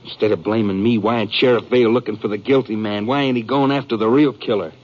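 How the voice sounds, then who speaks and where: A man acting a frightened, aggrieved American rancher on the run in a 1950s radio western, speaking in a rustic, earnest, halting way. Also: lowish voice, steady tone, natural voice. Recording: muffled 1950s radio recording.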